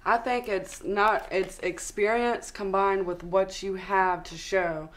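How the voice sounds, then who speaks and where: A young woman with a Southern US accent, a guest on a studio interview, giving steady explanatory advice. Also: mid-range voice, takes it slowly. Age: young adult. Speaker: actress and model guest. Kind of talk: interview answers. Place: studio with city backdrop, seated on sofa.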